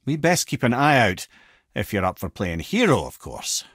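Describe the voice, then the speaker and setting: A worldly, learned old Scotsman from a fantasy video game, close and dry on the recording. His voice is husky and mid-pitched, swinging expressively as he tells old tales. The delivery is eloquent and theatrical, with wry wit throughout. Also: sing-song, normal speed, a bit bright, no room sound. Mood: earnest counsel. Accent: Scottish. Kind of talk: video game character dialogue and lore storytelling.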